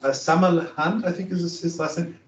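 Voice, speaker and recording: A man's voice: a little high, fairly even intonation, fairly quick. A man moderating a panel discussion, loose and chatty with fillers. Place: large conference hall. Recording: echoey hall, distant dull mic, faint noise.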